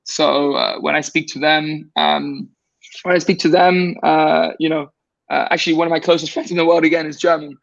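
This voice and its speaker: A man's voice: fairly high pitch, little pitch movement, moderate pace, vocal fry, no echo, muffled recording. A man guest in a casual conversation, rambling with many restarts and fillers.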